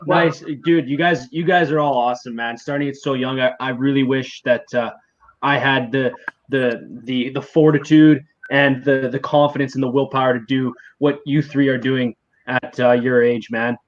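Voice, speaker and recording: A man's voice: mid-range voice, fairly even intonation, normal speed. A man in his twenties in a casual live group chat, friendly and encouraging, calling people "dude" and "man". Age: 20s. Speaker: livestream guest. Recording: dull lo-fi audio with faint hiss.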